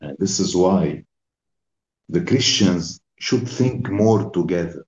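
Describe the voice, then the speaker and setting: A man giving a formal conference talk, speaking in slow, emphatic phrases. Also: sits low, expressive, a little mellow, roomy sound, highs cut off. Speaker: invited speaker.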